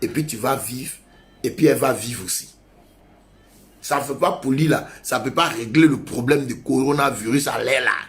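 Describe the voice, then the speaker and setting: A man's voice: mid-range voice, normal speed. A male pastor preaching a sermon, urging his congregation with emphatic, repetitive exhortations.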